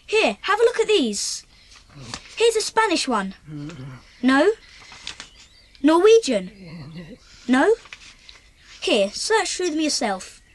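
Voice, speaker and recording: A woman's voice: high-pitched, sing-song, slow pace, long pauses, heavily acted. A woman playing an inquisitive, cocksure English child in an audio drama, eager and opinionated.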